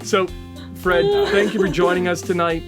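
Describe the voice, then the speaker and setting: A man hosting a comedy panel game in a deliberate, mock-ceremonial way with dramatic pauses. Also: sits high, flat delivery, music in the background. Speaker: game show host and headmaster.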